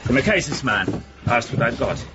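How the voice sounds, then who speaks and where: An actor playing a guarded, worldly man in a naturalistic radio drama, speaking in a measured, matter-of-fact, knowing way. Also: medium pitch, very animated, dull audio, heavy background noise, lightly acted. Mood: knowing, resigned.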